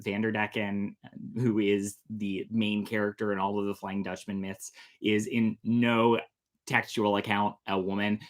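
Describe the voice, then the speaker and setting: A man hosting a casual storytime, chatty and jokey as he explains things in asides. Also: lowish voice. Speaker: storytelling host.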